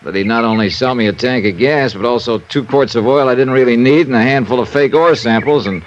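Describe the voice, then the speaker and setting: An actor playing a shrewd, breezy hard-boiled American investigator in an old radio detective drama. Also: male voice, medium pitch, fairly quick, slight background noise, lightly acted. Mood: brisk, watchful. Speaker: lead actor and narrator. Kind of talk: 1950s-style radio detective narration and acting. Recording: dull old radio recording with music.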